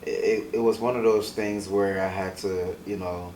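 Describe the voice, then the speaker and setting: A young man from Ghana, an artist, answering interview questions in a soft-spoken, hesitant way with many ums. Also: deep voice, steady tone, a little slow. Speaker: guest artist. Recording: echoey room, distant mic, hiss.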